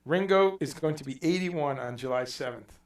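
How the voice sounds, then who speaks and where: A man's voice: on the high side, moderate pace. Rambling man livestreaming from an echoey room. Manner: rambling, reads out viewer comments, swears casually. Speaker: livestream host. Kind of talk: casual livestream chat. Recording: echoey room, voice a bit far from mic.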